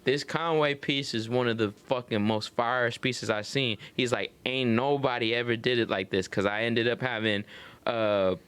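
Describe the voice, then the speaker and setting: A man's voice: medium pitch, fairly even intonation, moderate pace, fairly bright tone, smooth. An American man telling stories in casual, profane slang, acting out what people said to him. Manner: slangy, profane, acts out quoted dialogue. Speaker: podcast host. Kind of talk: casual chat, animated storytelling.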